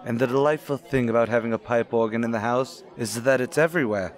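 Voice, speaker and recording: A man's voice: medium pitch, quick pace, a faint crowd, natural voice. A man playing a courtly, cultured rich widower, formal and suave, in an old-time radio drama. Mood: rapturous, genial.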